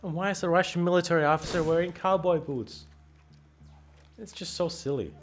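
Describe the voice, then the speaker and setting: A man with a Finnish accent chatting and joking over a game at home, his voice jumping up when excited. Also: on the high side, brisk, soft background music. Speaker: game streamer. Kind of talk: casual game commentary. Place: home room.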